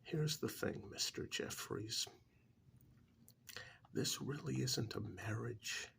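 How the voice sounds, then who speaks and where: A man playing a calm, probing couples therapist in a soft-spoken ASMR role-play, measured and deliberate with a breathy voice, close to the microphone. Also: mid-range voice, a little hiss or hum, heavily acted. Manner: measured, deliberate, pausing between short phrases.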